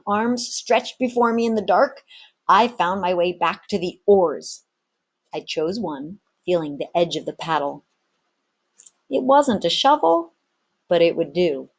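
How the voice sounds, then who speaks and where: A woman reads an adventure story aloud in the manner of a teacher. Her voice is somewhat low and husky, with expressive narration.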